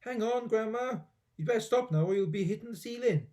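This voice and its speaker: A man voicing an excitable, concerned young grandson in a storybook, calling out urgently. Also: high voice, fairly quick, voice pushed up, natural voice. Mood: alarmed. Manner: exclamatory, urgent.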